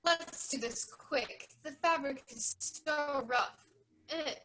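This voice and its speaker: A woman's voice: sits high, slow pace, fairly bright tone, rough recording. A woman playing a whiny, pampered young daughter, sulky in a children's theatre staged reading.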